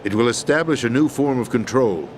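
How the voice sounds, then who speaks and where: An actor playing a commanding yet desperate middle-aged deposed president in a video game, speaking with solemn, deliberate authority. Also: male voice, medium pitch, expressive, a little hiss or hum, lightly acted. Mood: grave, urgent. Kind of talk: dramatic video game acting. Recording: loud music over the voice.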